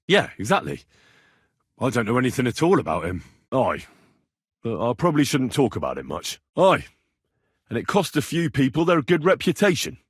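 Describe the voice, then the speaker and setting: Husky, expressive young medieval everyman. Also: mid-range voice, normal speed, long pauses, dry recording, clean studio sound. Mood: chatty, curious. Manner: inquisitive, conversational. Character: Henry, curious young medieval Bohemian man, plain-spoken, amiable. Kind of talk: video game dialogue voice acting.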